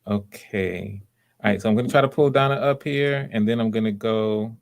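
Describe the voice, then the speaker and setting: A man's voice: fairly low pitch, normal speed. A man hosting live auditions casually, coaching in an encouraging way and often repeating his words. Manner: encouraging, hesitant repetitions. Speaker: audition host.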